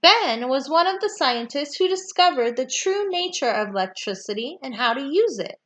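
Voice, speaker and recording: A woman reading a children's nonfiction book aloud with deliberate, emphatic phrasing for young listeners. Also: sits high, expressive, a bit bright. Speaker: read-aloud storyteller.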